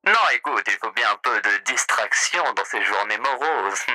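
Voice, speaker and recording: A man's voice: fairly low pitch, normal speed, bright, forceful tone, poor audio. A man playing a suave sardonic demon host. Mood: mocking. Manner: grandiloquent, courtly and sardonic. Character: suave theatrical male demon hotel host, mocking. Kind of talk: voice roleplay.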